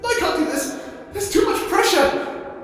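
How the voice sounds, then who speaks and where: A man playing a shy, nerdy, excitable young wizard in an audio roleplay, with a stammering, flustered, self-doubting manner. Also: very high-pitched, measured pace, exaggerated voice. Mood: flustered, panicking. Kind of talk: scripted audio roleplay acting.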